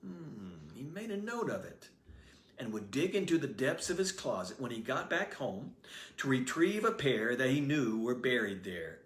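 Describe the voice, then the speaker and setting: A man reading his own novel aloud as storybook narrator, expressive and lively. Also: mid-range voice, normal speed. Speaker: author reading his book. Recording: roomy echoey room.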